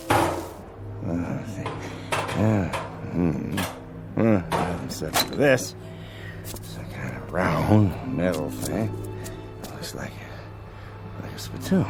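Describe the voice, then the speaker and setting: An actor playing a tired, slightly drunk older man in a radio drama, gravelly and mumbling with many hesitations. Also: low voice, full character voice. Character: tired tipsy older man rummaging in an alley. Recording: radio play with music underneath.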